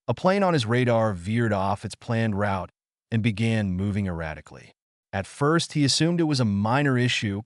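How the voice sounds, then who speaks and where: A man's voice: fairly low pitch, no echo, studio quality. A man reading a scripted story in a dramatic, suspenseful narrator's style.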